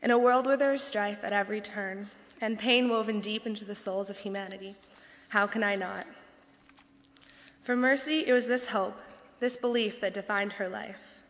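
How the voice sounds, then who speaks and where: A woman's voice: mid-range voice, fairly even intonation, moderate pace. A young woman from western Canada reads her reflective speech in an earnest, lyrical way. The sound carries a long reverb from a big hall. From British Columbia, Canada. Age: young adult. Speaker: student speaker. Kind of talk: reading a prepared reflective speech. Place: campus convocation hall.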